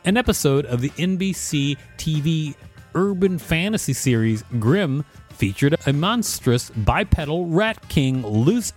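A man's voice: mid-range voice, a little mellow. A man co-hosting a podcast, reading an encyclopedia article aloud. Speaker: podcast co-host.

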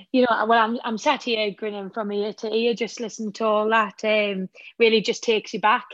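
A woman footballer with a Northern Irish accent, a slightly croaky voice and a halting, emotional way of talking in a radio interview. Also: mid-range voice, little pitch movement, fairly bright tone, dry recording, dull audio. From Northern Ireland. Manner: halting, many restarts, emotional. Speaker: footballer and pundit guest.

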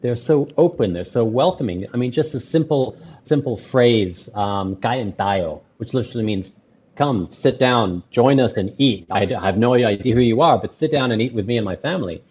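A man's voice: medium pitch, animated, moderate pace, a little mellow, slightly rough, highs cut off. A man, talking earnestly as an interview guest, rambling with many restarts and fillers. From Australia. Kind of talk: casual interview conversation.

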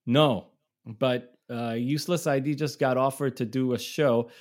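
A middle-aged man from Israel chats as a podcast guest. He tells long road stories in a loose, rambling way, restarting sentences and laughing along. His voice sits at an ordinary middle pitch. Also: steady tone, a little slow, a little mellow. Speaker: touring musician, guest. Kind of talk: casual storytelling.

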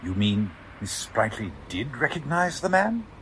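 A man's voice: mid-range voice, very animated, measured pace, fairly bright tone, poor audio. A man acts out a fussy company clerk in a forties radio drama. He is prim, courteous and hesitant, his intonation swinging widely. Faint ambient noise sits behind him. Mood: hesitant, polite. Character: fussy, formal, hesitant male chief clerk. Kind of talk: 1940s radio drama acting.

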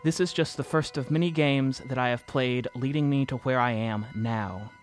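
Male actor as anxious male narrator, audio fiction monologue, mid-range voice, reflective, deliberate, faint music. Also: medium pitch, natural voice. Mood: bewildered, afraid. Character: anxious male narrator confessing a reality-bending game.

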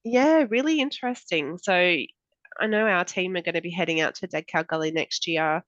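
A woman's voice: fairly low pitch, normal speed, a bit bright, dry recording, dull audio. A woman in her forties with an Australian accent, co-hosting a casual running podcast, her voice nasal from a cold. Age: 40s. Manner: chatty, many fillers and laughs. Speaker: podcast co-host. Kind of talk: casual chat.

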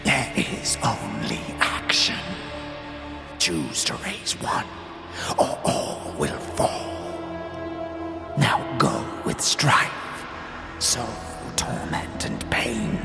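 A man voicing a menacing spectral hunter in an audio drama, with a breathy, hissing whisper and slow, commanding delivery. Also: fairly low pitch, huge pitch swings, over music, full character voice. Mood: cold, menacing. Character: menacing spectral hunter, a hungry phantom of haze.

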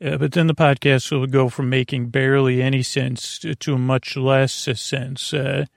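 A man with a creaky voice hosting a bedtime podcast, meandering and halting through a soothing monologue full of tangents and self-corrections. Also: medium pitch, level tone, no room sound, studio quality. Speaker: podcast host. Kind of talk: rambling bedtime monologue meant to lull listeners to sleep.

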